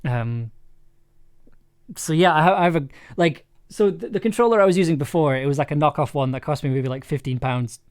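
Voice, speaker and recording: A man chatting casually on a livestream as he works, enthusiastic but halting, repeating words and letting thoughts trail off. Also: a little high, darkish tone, smooth. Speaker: audio drama maker livestreaming an editing session. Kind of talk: casual livestream chat while working.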